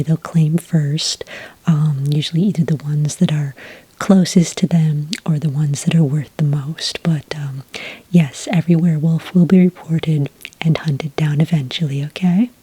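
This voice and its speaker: A woman speaking in a soft, whispery voice close to the mic, playing a polite, unhurried agent in an ASMR roleplay. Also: low voice, rough recording. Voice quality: breathy, whispery. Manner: gentle, unhurried, politely procedural. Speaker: ASMR roleplayer acting as a werewolf report agent.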